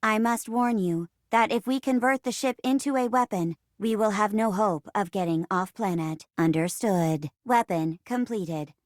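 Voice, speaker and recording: A woman's voice: medium pitch, normal speed, few pauses, dry recording, clean studio sound, lightly acted. A woman voicing a dutiful, earnest robot assistant on a starship, with clipped, formal, literal delivery in a game. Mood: grave, formal. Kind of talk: theatrical game voice acting.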